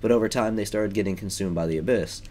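A man's voice: on the low side, fast talker, mellow. A young man giving casual, rambling commentary while playing a video game, with lots of ums and likes. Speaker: let's play gamer.

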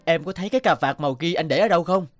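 A man's voice: fairly high pitch, very fast, lightly acted. A man playing an irritable, dismissive husband in a cartoon, curt and grumbling. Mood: irritated. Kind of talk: animated cartoon dialogue. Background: background music.

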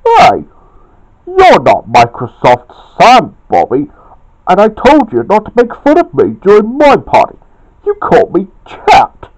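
A man's voice: sits high, wildly swooping pitch, slow pace, darkish tone, voice pushed up, full character voice, low-quality recording. A man voicing an indignant, bossy male friend in an amateur storybook telling. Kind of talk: amateur storytelling with acted dialogue.

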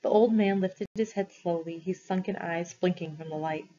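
A woman's voice: lowish voice, moderate pace, dark tone, dull audio. A woman reading a story aloud expressively, as a teacher does for students.

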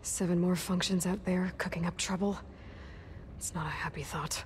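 A woman's voice: fairly low pitch, steady tone, normal speed, heavy background noise, close to an everyday voice. A woman playing a determined, sardonic young warrior heroine in a video game, questioning in a dry, pressing manner. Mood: grim, weary. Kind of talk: video game dramatic acting.

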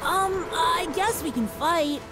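A woman voicing a caring, wry talking wolf companion from a video game, with a halting, gently nagging manner. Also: very high-pitched, over music. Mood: wry, hesitant. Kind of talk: animated voice acting.

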